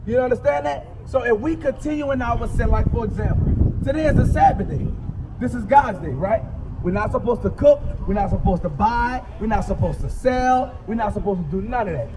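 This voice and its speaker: Male street preacher, very high voice, emphatic colloquial call-and-response delivery, recorded outdoors over traffic noise. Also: expressive, fairly quick. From United States. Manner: emphatic, colloquial, checks in with listeners. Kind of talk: street sermon with call-and-response to a reader and bystanders. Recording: distant mic, clear outdoor traffic noise.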